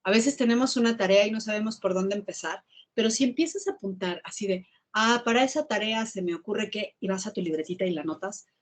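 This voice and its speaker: A woman, giving an online talk on writing in a lively, emphatic way and repeating key words. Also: medium pitch, quick pace. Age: 50s. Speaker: guest speaker on writing. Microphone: video call.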